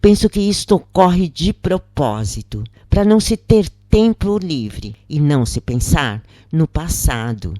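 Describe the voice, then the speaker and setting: A narrator reading a scripted first-person account in measured phrases with pauses. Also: medium pitch, warm tone, no echo.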